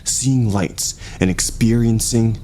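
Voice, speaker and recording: A man's voice: fairly low pitch, steady tone, slow pace, dark tone, a little hiss or hum, pitched above the performer's own voice. A man voicing an enthusiastic young amateur vlogger who narrates showily to an imagined audience. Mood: showy, earnest.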